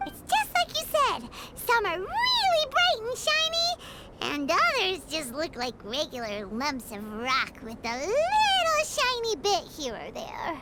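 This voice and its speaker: A woman voicing a tiny, chirpy female fairy companion in a video game cutscene, excitable and talking about herself in the third person. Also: very high voice, measured pace, heavy background noise, exaggerated voice. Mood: chatty. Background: game music.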